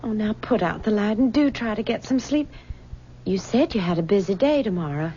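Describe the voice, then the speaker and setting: A woman's voice: mid-range voice, animated, fairly quick, warm tone, close to an everyday voice. A woman as a tender, worried young wife. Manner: tender, reading aloud archaically. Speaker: wife in a radio drama. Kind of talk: 1970s radio drama acting. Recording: dull noisy old radio broadcast.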